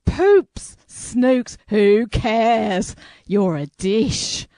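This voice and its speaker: Woman acting a high, drawling, flirty party guest. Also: lively intonation, drawn-out words, mellow, no echo. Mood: brash, flirty. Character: brash, flirtatious, thirsty woman dinner guest. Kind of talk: comic radio advert acting.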